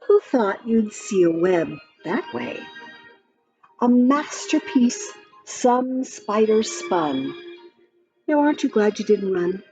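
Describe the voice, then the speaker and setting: A woman reading a children's picture book aloud in a gentle, expressive storytime voice. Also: mid-range voice, slow, muffled recording, faint music behind.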